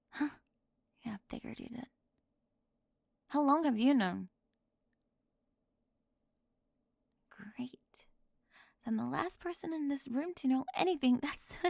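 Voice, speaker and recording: A woman acting an organized, high-strung young cheerleader in an intimate audio roleplay, flustered, fussy and emphatic yet affectionate. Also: gravelly, darkish tone, no echo, studio quality. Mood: hurt, stunned.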